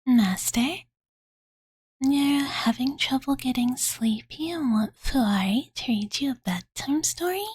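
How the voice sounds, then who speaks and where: A woman voicing a playful, doting young dragon girl in soft, breathy ASMR whispers, with cutesy, ditzy phrasing, close to the microphone. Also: high voice, takes it slowly, dry recording, heavily acted. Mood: playful, cozy. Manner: ditzy valley-girl filler talk, cutesy third-person self-reference. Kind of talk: whispered ASMR roleplay bedtime storytelling. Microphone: close Blue Yeti condenser mic.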